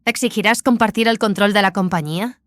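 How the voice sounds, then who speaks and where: Una actriz interpreta a una cómplice preocupada e inquisitiva en una audioficción de ciencia ficción. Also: female voice, medium pitch, a little fast, dry recording, clean studio sound, lightly acted. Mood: questioning. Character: Kelly, concerned, questioning female accomplice. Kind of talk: science fiction audio drama dialogue.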